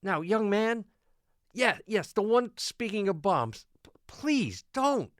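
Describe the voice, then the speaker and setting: A man playing a harried small-island mayor in an audio drama, placating and stammering as he pleads for calm. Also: high-pitched, slow, no room sound, clean studio sound, full character voice. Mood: flustered, placating. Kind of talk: audio drama acting, public town meeting address.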